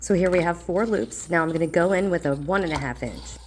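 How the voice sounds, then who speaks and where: Low-voiced woman with a stuffy voice. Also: brisk, a little hiss or hum. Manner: calm, plain, practical explaining. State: slightly congested voice. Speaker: craft tutorial host. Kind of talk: step-by-step craft instruction.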